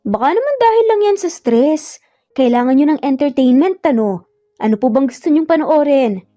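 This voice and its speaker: Audio-story nanny, played by a woman: cheeky, exclamatory, teasing, lifted pitch, Filipino accent, faint music behind. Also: lively intonation, normal speed, mellow, muffled recording. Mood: playful. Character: cheeky, teasing young nanny talking back to boss.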